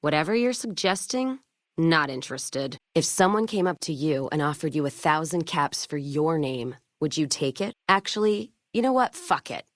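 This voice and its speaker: Low-voiced, hard-drinking American frontier gal. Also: female voice, expressive, normal speed, dry recording, studio quality. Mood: defiant, irritated. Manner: blunt, sardonic, profane frontier drawl with folksy turns of phrase. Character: hard-drinking, sharp-tongued woman caravan owner in a post-apocalyptic western. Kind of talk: video game character dialogue.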